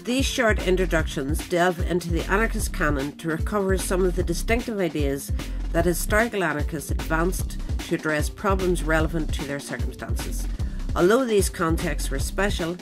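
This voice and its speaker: Male narrator, slightly high voice, formal and measured delivery for a written essay. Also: over music. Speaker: reader.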